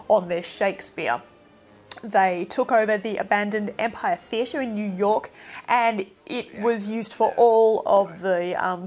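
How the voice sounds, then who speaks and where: A woman presents an informal film review in a chatty, opinionated manner. She speaks emphatically at a middling pitch, with music playing behind her.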